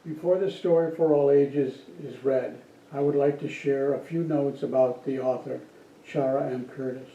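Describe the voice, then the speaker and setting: A man reading aloud formally at a church service with measured, deliberate phrasing. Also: mid-range voice, steady tone, dark tone. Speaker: worship service reader. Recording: dull, roomy, distant, noisy church recording.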